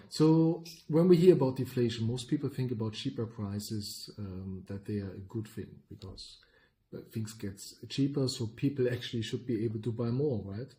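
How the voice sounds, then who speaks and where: A middle-aged man with a German accent, co-hosting a podcast and explaining business topics in a measured way, often checking in with "right?". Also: lowish voice, dark tone, a little hiss or hum. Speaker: podcast co-host, business consultant.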